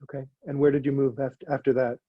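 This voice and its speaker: A man's voice: mid-range voice, flat delivery, quick pace, slightly rough, dry recording, narrow, tinny audio. A man in his forties hosting an interview, asking curious, thoughtful questions. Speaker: host, interviewer. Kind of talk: casual interview.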